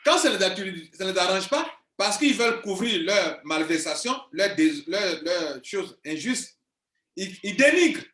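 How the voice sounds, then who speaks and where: Man, 40s, business coach; high-pitched, insistent, repeats points, roomy echo. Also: very animated, a little slow, sharp tone. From Ivory Coast. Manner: insistent, emphatic, repeats himself. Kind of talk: video monologue to viewers. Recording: echoey room.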